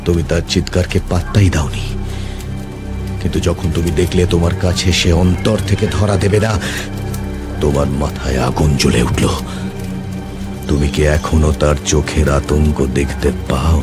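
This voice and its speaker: A man playing a cold, relentless tormentor in an audio drama, accusing and taunting with deliberate, insistent delivery. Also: very deep voice, steady tone, over loud music. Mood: relentless, menacing. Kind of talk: audio drama acting, Bengali mixed with English.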